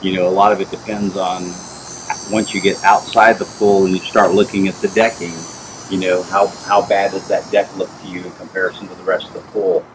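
A middle-aged man with a deep, low voice talks through pool problems in a steady, explanatory way, pausing with "uh" and "um." He is outdoors in a backyard, picked up by a camera mic a few steps away. Steady outdoor noise sits under his voice. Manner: plain, measured, explanatory, with frequent "uh" and "um". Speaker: pool inspector.

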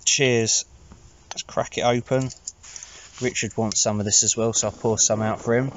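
A man in his thirties with an English accent, chatting casually to camera in a low-key, rambling, informal way as an outdoor vlogger. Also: fairly even intonation, normal speed, highs cut off, background noise. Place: inside a small tarp shelter outdoors at night.

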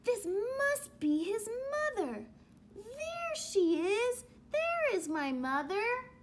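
A woman voicing an eager, anxious little male baby bird from a storybook, with drawn-out, exclaiming words and plaintive calls. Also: extremely high pitch, big pitch swings, noisy background, pitched above the performer's own voice, full character voice. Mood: excited calling.

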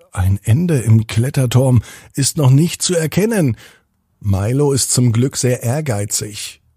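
Slow male bedtime storyteller with gravelly edge. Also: mid-range voice, dark tone, no room sound, studio quality. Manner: slow, deliberate phrasing with dramatic pauses. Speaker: podcast host and storyteller. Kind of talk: children's bedtime story narration.

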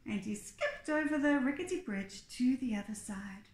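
A woman in her thirties, a teacher reading a children's storybook aloud expressively and deliberately. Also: a little high, lively intonation. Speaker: pre-primary teacher. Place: small bare indoor room. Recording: echoey room.

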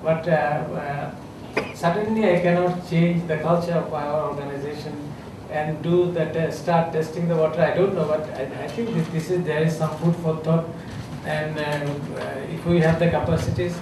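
Hesitant Bangladeshi official at a podium, echoey. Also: male voice, a little high, steady tone, normal speed, hardly any pauses. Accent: Bangladeshi English. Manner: hesitant, many uh fillers and restarts. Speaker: government health official speaking on a panel. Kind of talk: unscripted panel remarks. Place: conference room with a seated audience. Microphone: podium microphone. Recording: echoey room, voice from a distance, clear background noise.

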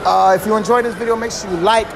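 A man's voice: high voice, fairly even intonation, quick pace, vocal fry, a crowd in the background, noisy background. A young man hosting a street interview in a shopping mall, talking in hyped, teasing slang. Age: young adult. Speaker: host.

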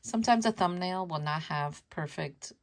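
A woman's voice: lowish voice, moderate pace. Chatty woman, Spanish her first language. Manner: chatty, repeats herself, laughs at herself. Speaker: worm composting channel host. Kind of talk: casual show-and-tell vlog. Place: indoor room at home with worm bin.

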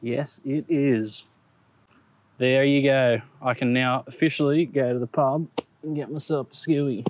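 Australian man, 30s, casual outdoor vlogger, mid pitch, chatty and excitable, light background noise. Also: measured pace. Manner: excitable, laughs at his own finds, chatty asides to viewers. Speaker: metal detecting vlogger. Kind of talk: casual commentary while detecting. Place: outdoors on a grassy park lawn. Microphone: action camera on the body or set on the ground.

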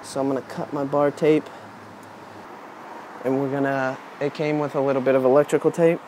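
A man's voice: mid-range voice, normal speed. Casual young man, faint outdoor noise behind. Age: 20s. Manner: informal, unscripted, thinking aloud while working with his hands. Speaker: DIY tutorial presenter. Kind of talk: casual how-to demonstration. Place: outdoors on a wooden porch. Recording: outdoor camera audio with steady background noise.

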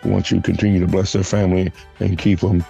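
A man hosting a church podcast, hoarse from a flu, making announcements and leading a prayer with halting restarts. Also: deep voice, level tone, warm tone, soft background music. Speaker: podcast host. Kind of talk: casual church podcast chat.

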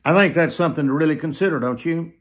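An elderly man preaching to the camera in an emphatic, deliberate manner, often repeating phrases for weight. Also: fairly high pitch. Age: 70s. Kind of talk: sermon to camera. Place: small plain room indoors.

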